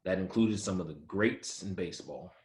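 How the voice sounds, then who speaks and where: Man acting a low-voiced, proud ballplayer. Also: moderate pace, roomy sound, a little hiss or hum, close to an everyday voice. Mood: composed, reflective. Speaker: actor at a table read. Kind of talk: dramatic first-person script reading.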